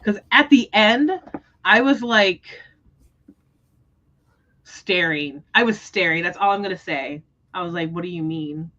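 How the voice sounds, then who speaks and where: A woman's voice: lowish voice, a little slow, pauses often, pushed and bright, muffled recording. A woman hosting a book readalong livestream, talking casually about books. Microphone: livestream microphone.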